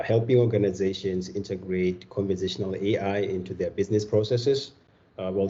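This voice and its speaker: A man, founder and director of a company, giving a measured, businesslike introduction on a panel. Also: low-pitched, dark tone, dull audio.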